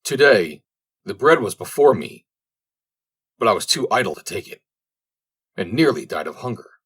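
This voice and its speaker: A man's voice: on the low side, sing-song, normal speed, fairly bright tone, breathy edge, exaggerated voice. A man voicing an idle storybook servant who declaims with self-satisfied smugness about his laziness. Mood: smug, languid. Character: idle servant, smugly boasting of extreme laziness.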